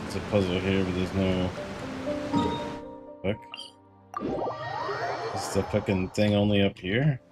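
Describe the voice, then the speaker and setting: A man's voice: deep voice, level tone, slow pace, pauses often. A man chatting casually and in a laid-back way as he streams a video game. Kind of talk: casual gaming livestream chat. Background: game music.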